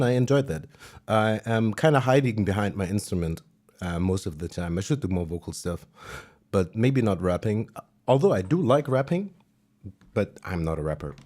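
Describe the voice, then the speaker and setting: A man with a German accent chatting casually on a music livestream, relaxed and self-deprecating, with plenty of fillers. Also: lowish voice.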